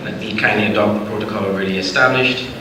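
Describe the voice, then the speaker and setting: A man's voice: fairly low pitch, fairly even intonation, quick pace. A man moderating a session, greeting participants in a courteous, lightly joking way. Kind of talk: formal greeting. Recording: echoey hall, faint noise.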